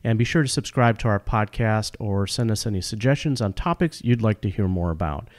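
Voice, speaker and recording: A man's voice: fairly low pitch, a little fast. A middle-aged man hosting a podcast, introducing the show and its guests and putting questions to them. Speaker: podcast host, couples therapist.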